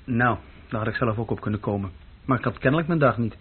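Husky troubled young man, plainly telling his story. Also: mid-range voice, fast talker. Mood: matter-of-fact, reflective. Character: troubled young German man recounting his past, plain-spoken, fearful. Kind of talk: radio play first-person narration. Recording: dull lo-fi old radio play recording.

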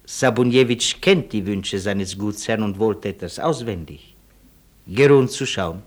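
Cheeky, wheedling colonel's orderly. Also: male voice, fairly low pitch, measured pace. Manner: mock-deferential, wheedling. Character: cheeky, servile orderly to a Polish colonel, crafty fixer. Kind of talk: German radio play acting.

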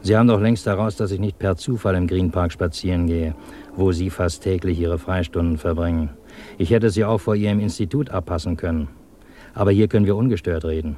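An actor playing a sardonic, insistent German communist agent and former officer in a 1950s radio drama, speaking in a clipped, probing way. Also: male voice, low voice, fairly quick, mellow, close to an everyday voice. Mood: earnest, insistent. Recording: 1950s radio recording, faint noise.

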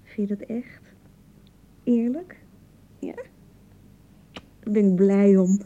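An actress with a Dutch accent playing a young, anxious newlywed bride in a radio drama, touchy and fretful, chattering and repeating herself. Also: female voice, medium pitch, steady tone, slow, dark tone, dull audio, slight background noise. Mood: relieved, fragile. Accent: Dutch (Netherlands). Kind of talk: naturalistic radio drama acting.

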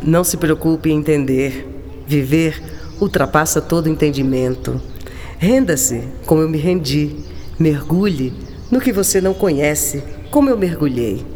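A woman playing a gentle, philosophical writer in a radio drama, talking in a musing, affectionate and expressive manner. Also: sits low, measured pace. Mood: musing, tender. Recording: long reverb, clear background noise.

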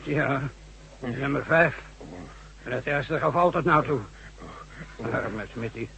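A man's voice: mid-range voice, slow, creaky, bright, forceful tone, slight background noise, full character voice, poor audio. An actor playing a blunt, colloquial construction foreman who gives orders in a radio drama. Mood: concerned. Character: urgent, rough-and-ready construction site foreman.

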